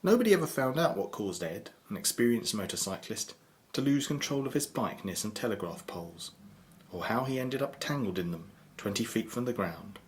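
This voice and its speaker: A man's voice: on the low side, expressive, a little fast, slight background noise. A man with a British accent narrating a scripted audio drama in a theatrical, ominous storytelling manner.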